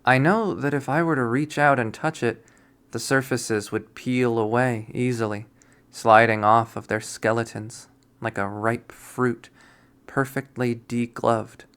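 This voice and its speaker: A man narrating a visual novel readthrough in a deliberate, storytelling manner. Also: medium pitch, steady tone, fairly bright tone, clear, resonant tone. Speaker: game streamer reading a visual novel aloud.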